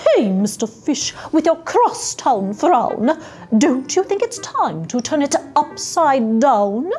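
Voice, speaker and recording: A cheerful female clam from a storybook , played by a woman. She coaxes in rhyme with a high, lively voice. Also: slow, faint music behind, full character voice. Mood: coaxing. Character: cheerful female clam giving friendly advice.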